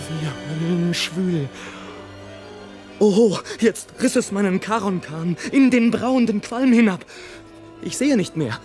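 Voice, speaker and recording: An actor playing a brooding, defiant balloonist facing death, in a theatrical literary radio play monologue. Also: male voice, sits high. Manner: theatrical, swinging from hushed brooding to exclamation.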